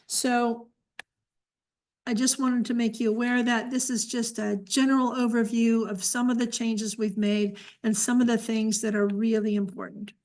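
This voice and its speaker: Higher-voiced woman presenting a briefing. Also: little pitch movement, normal speed, a little mellow. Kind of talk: informal presentation and answering questions.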